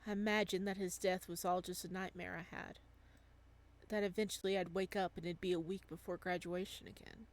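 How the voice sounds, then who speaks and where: Wistful adult boyfriend narrator female reader, visual novel, gentle, soft, reflective delivery. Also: on the low side, flat delivery, a little fast, lightly acted. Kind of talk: visual novel story reading.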